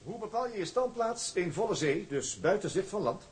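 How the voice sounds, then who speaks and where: A formal male nautical examiner, put on by an actor, who questions a student in a high, clipped voice. The voice is pitched well above the usual. It is radio drama. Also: expressive, normal speed. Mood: questioning.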